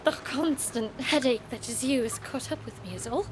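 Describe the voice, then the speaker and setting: A woman's voice: high voice, moderate pace, noisy background, close to an everyday voice. A woman playing a sarcastic, defiant young noblewoman explorer in an audio drama, with a haughty, theatrical manner. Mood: indignant.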